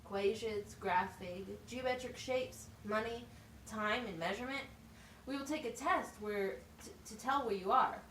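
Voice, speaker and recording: A woman's voice: fairly high pitch, normal speed, fairly bright tone, close to an everyday voice. A woman voicing an encouraging, methodical teacher in a scripted puppet show, explaining things plainly and asking questions. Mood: patient, explanatory. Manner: instructive, question-led, plain wording. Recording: roomy, distant mic, faint noise.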